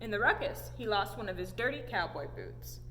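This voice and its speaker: Animated woman reading aloud in an echoey hall. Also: mid-range voice, moderate pace. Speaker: story time reader. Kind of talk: animated storybook read-aloud. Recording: echoey hall, audible background noise.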